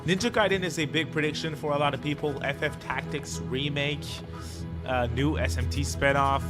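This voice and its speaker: A man hosting a livestream in a laid-back, informal, slangy way, chatting casually with his viewers. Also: on the high side. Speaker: livestream host. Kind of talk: casual livestream chat, reacting and reading viewer comments. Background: music.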